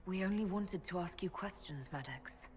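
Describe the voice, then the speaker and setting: A woman's voice: fairly low pitch, moderate pace, darkish tone, lightly acted. An actress playing a composed, compassionate female leader in a video game, speaking in a direct, questioning way. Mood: composed, concerned. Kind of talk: dramatic video game acting. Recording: clear background noise.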